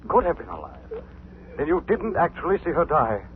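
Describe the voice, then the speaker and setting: An actor playing an eerie invisible crime fighter in 1940s radio style, questioning insistently and dramatically. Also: male voice, fairly high pitch, wildly swooping pitch, moderate pace, phone-quality sound. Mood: urgent, commanding. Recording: thin, noisy 1940s radio recording.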